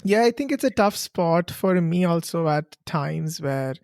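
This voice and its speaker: A woman's voice: fairly low pitch, lively intonation, unhurried, a little mellow, no echo, clean studio sound. A woman from India, hosting a casual interview and laughing often as she encourages her guest. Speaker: podcast host.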